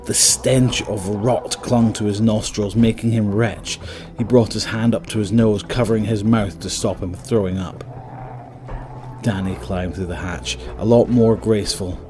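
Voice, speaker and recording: A man's voice: on the low side, fairly even intonation, unhurried, music in the background. A man narrating a scripted dramatic story as the narrator of an audio drama.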